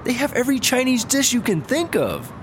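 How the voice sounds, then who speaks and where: An easygoing male slacker employee, eager to please. His intonation swings very widely in sitcom-like audio comedy, with clear ambient noise behind him. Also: very high-pitched, big pitch swings, brisk. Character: laid-back, perk-loving slacker male startup employee, eager to please. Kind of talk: comedy audio drama acting. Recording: background ambience and noticeable noise.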